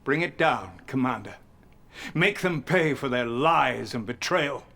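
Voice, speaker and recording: An actor playing a stern, indignant military leader in a dramatic video game cutscene, speaking with emphatic authority. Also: male voice, medium pitch, expressive, measured pace, vocal fry, sharp tone, exaggerated voice. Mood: vengeful. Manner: emphatic, commanding. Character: stern Allied military leader giving orders, indignant and vengeful. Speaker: military commander character.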